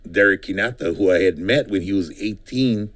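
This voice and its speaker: A man's voice: low-pitched, normal speed, fairly bright tone. A middle-aged man from Hawaii, a political candidate, talking casually in a studio interview and rambling with frequent ums and restarts.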